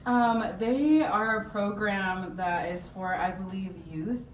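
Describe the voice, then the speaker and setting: A woman's voice: medium pitch, steady tone, takes it slowly. Giggly woman guest in a roomy space. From Western Samoa. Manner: giggly, modest, easygoing. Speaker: guest musician. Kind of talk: casual interview chat. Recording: roomy, distant mic, faint hiss.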